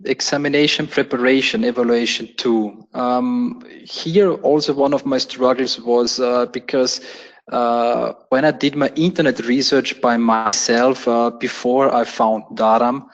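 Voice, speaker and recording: A man's voice: medium pitch, steady tone, a little slow, dull audio. A man from Austria with an Austrian German accent, a German native speaker talking casually and hesitantly as a guest on a webinar, with many fillers and restarts. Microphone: webinar call audio.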